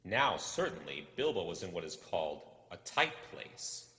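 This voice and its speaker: A man narrating a storybook expressively, in a measured, dramatic delivery with deliberate pauses. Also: low-pitched, big pitch swings, sharp tone. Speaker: audiobook reader. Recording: roomy echo with long reverb.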